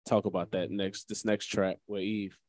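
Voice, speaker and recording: A man with a low-pitched voice co-hosts a casual music talk show, recorded close and dry. He puts questions to his partner and tends to repeat his phrases. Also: level tone, moderate pace, no echo. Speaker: radio show co-host.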